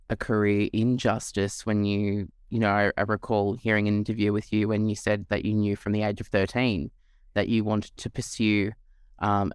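A man's voice: lowish voice, smooth, no room sound, studio quality. A man hosting a podcast, earnest and enthusiastic as he introduces the show and asks questions. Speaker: podcast host.

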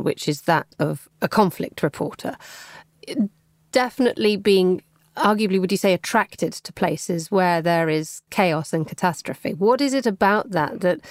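A woman's voice: medium pitch, no echo, studio quality. A woman interviewer asking prepared, leading questions.

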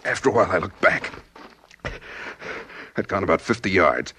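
An actor playing a brooding, desperate drifter on the run in a 1940s radio noir drama, by turns narrating and pleading. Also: male voice, deep voice, expressive, moderate pace, bright, forceful tone. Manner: brooding, world-weary, pleading. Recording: noisy 1940s radio recording.

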